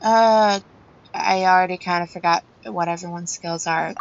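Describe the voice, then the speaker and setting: A woman's voice: lowish voice, fairly even intonation, normal speed, vocal fry. A woman casually reacting and commenting as she plays a video game. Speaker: gamer commentating. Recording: slightly muffled, game music behind.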